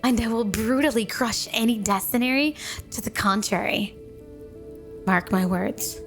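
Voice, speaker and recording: A woman's voice: on the high side, unhurried, soft background music. A woman playing an obsessively devoted snow woman wife in a flirty ASMR roleplay, gushing and cooing with a sweet but possessive manner. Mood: menacing. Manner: gushing, cooing, doting, dreamy.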